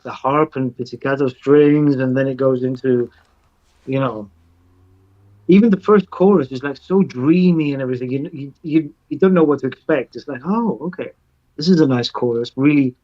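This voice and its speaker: A man's voice: medium pitch, mellow, highs cut off. A man whose first language is Greek, a music producer guest chatting casually and eagerly, often repeating and restarting words. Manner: enthusiastic, halting, repeats words. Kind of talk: casual chat.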